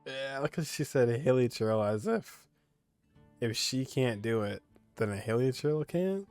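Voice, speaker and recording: A man's voice: mid-range voice, animated, unhurried, darkish tone, smooth. A man speaking as a gaming streamer, giving casual, slangy commentary while he plays. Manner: informal, slangy. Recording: game music behind the voice. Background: video game music.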